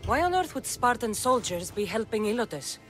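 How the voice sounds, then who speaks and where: A woman's voice: a little high, big pitch swings, normal speed, faint music behind. A woman playing a tough, determined Spartan mercenary in a video game cutscene, terse and dry. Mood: blunt, indignant.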